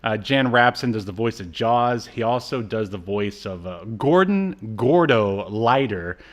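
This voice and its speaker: A man's voice: lowish voice, animated, measured pace. A man co-hosting a podcast, casually recapping an episode with wry, sarcastic asides. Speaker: podcast co-host.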